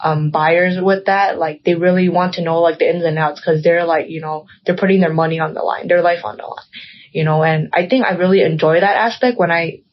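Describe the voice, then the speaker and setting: A woman's voice: lowish voice, steady tone, fairly quick, highs cut off. A young woman guest in a casual podcast chat, rambling and filling her talk with "like" and "um". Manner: rambling, heavy use of "like" and "um".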